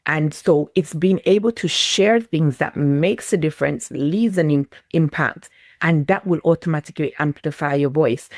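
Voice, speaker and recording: A woman's voice: deep voice, no echo, studio quality. A woman with a Jamaican accent, a business mentor speaking in a motivational conversation, repeating her key phrases for emphasis.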